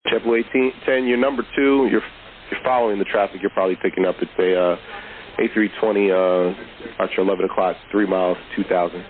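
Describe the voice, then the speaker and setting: A man's voice: low-pitched, steady tone, quick pace, phone-quality sound. A man working as an air traffic controller, giving clipped instructions in aviation phraseology over the radio. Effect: radio transmission.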